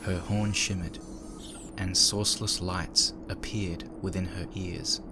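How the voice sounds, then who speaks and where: A man narrating a dark first-person story in a measured, somber, dramatic reading style. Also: low voice, flat delivery, noisy background. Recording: loud engine and ambient sound effects. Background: background sound effects of ambience and machinery.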